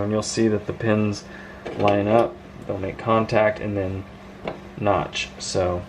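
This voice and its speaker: A man's voice: lowish voice, a little hiss or hum, poor audio. A man giving an informal, rambling hands-on show-and-tell as a hobbyist, with an enthusiastic, marveling manner. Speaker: vintage radio hobbyist presenting a video.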